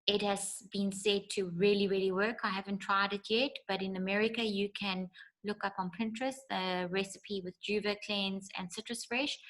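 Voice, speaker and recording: A woman's voice: mid-range voice, steady tone, moderate pace, a bit bright, poor audio. A woman presenting in a bubbly, rambling, casual way.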